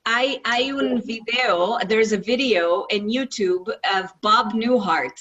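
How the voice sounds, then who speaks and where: A woman's voice: on the high side, slow, fairly bright tone, far from the mic, lo-fi sound. Woman interpreting, a bit off-mic. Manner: relays the other speakers' points. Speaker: co-host and interpreter. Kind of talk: casual online talk, interpreting.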